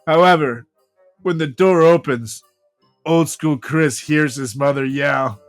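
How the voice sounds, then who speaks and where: Guy podcaster reading a funny story, slowish with big pauses, lively highish voice, music under. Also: dry recording. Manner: dramatic pauses, drawn-out delivery. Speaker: podcast host. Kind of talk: comedic story narration.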